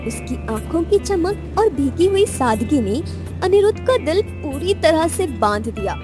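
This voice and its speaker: A woman with a very high voice narrates a romantic audio story. Her delivery is expressive and lively in pitch, with music playing underneath. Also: unhurried.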